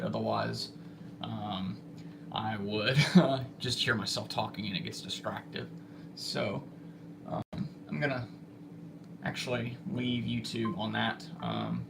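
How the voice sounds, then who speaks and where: A man chatting casually to livestream viewers as an amateur storm spotter, speaking haltingly with trailing pauses, on phone audio. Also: on the low side, creaky, noisy background. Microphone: phone, held or propped nearby.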